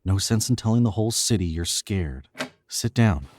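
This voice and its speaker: A man's voice: on the low side, animated, moderate pace, background noise, lightly acted. A young man playing an arrogant, controlled murderer in a 1940s-style radio drama, with clipped, smug commands. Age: young adult.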